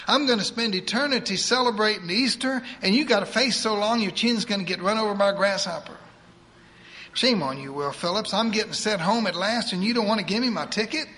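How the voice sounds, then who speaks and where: A woman's voice: medium pitch, a little fast, a bit bright, pitched above the performer's own voice, close to an everyday voice, rough recording. A man voicing a bossy, devout older woman, in a preachy sing-song manner. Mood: joyful.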